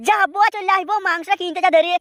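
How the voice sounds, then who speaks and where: A woman's voice: very high voice, steady tone, quick pace, fairly bright tone. A woman voicing a brazen, sly village man carrying on a secret affair in a comic cartoon, talking in a cheeky, colloquial way. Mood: sly, eager.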